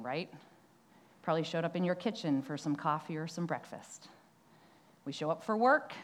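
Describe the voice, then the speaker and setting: Young woman preacher, lower voice, chatty sermon delivery, frequent "right?" asides. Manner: conversational, frequent tag "right?", some restarts. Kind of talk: sermon with prayer and scripture reading. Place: church.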